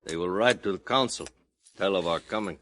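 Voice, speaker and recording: An actor in a 1950s radio drama playing a terse frontier guide who talks in short, broken phrases, on a noisy old broadcast recording. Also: male voice, low-pitched, brisk, fairly bright tone. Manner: clipped, broken speech.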